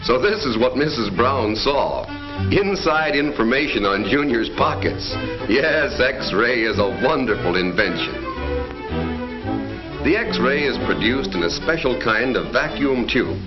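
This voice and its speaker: A man's voice: medium pitch, expressive, measured pace. Emphatic 1940s newsreel-style male narrator. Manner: emphatic, declamatory announcer delivery. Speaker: film narrator. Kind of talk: scripted 1940s educational film narration. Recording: dull 1940s film soundtrack with faint music.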